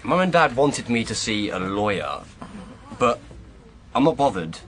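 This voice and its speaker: An actor playing a sullen, defensive teenage waiter in a radio drama, blunt and guarded. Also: male voice, medium pitch, sing-song, measured pace, a crowd in the background.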